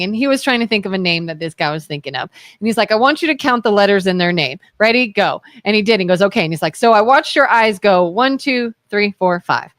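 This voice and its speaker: A woman's voice: medium pitch, dry recording, clean studio sound. A woman from Ohio co-hosting a casual radio talk show, telling stories and acting out the voices in them. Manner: animated storyteller who acts out the voices in her anecdotes. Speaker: co-host. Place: radio studio.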